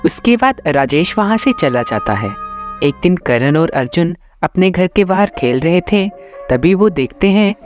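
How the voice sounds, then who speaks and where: A man's voice: high-pitched, sing-song, measured pace. A man reading scripted story narration as the storyteller. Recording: music behind the voice. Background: background music.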